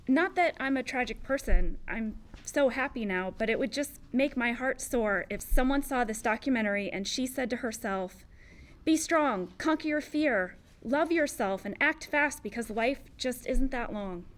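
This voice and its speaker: A woman acting a gentle, reflective office worker in a sitcom confessional. Her voice is fairly high, earnest and heartfelt. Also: animated, normal speed, natural voice. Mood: earnest, wistful. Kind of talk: sitcom table read, confessional monologue.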